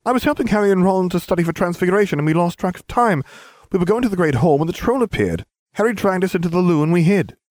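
An eager know-it-all schoolgirl who rattles off explanations in a raised, quick voice, put on by a man reading a story. The reading is close and dry. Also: sits high, expressive, a little mellow, no room sound, pitched above the performer's own voice. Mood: earnest. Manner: rapid, earnest explaining.

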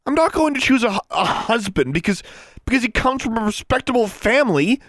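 An audiobook character: a defiant twelve-year-old girl, acted out by a man, pitched far above normal speech. She is indignant and quick-tempered, snapping her retorts with wildly swinging intonation in a dry recording. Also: sing-song, a bit bright, dry recording, heavily acted. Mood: outraged.

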